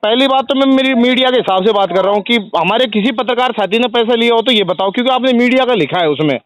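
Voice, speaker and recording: A man's voice: extremely high pitch, fast talker, a bit bright. A man in an argumentative phone conversation, insistent and repeating his points while staying polite in address. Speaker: journalist, press union state general secretary. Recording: phone call recording, dry sound.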